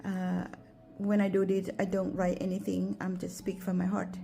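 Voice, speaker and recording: A woman speaks English with a Thai accent, her first language being Thai. She talks gently and haltingly, often restarting, as she films a home garden tour on a handheld camera. Faint music plays underneath. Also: mid-range voice, completely flat delivery, vocal fry. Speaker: gardening vlogger. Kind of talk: unscripted walking tour vlog.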